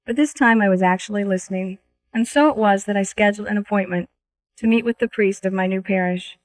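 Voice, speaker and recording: A woman's voice: mid-range voice, fairly quick. A woman reading a scripted, first-person audiobook introduction as its author.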